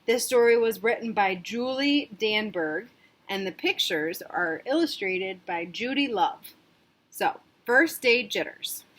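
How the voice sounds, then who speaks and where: A woman teacher reading a picture book aloud to her class, gently pausing to ask the children questions. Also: medium pitch, expressive.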